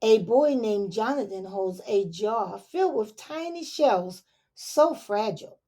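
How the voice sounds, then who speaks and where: Slow, gentle storytime teacher, woman. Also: mid-range voice. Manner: slow, expressive storytime reading with soft asides to listeners. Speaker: elementary school teacher. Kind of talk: reading a picture book aloud to young children.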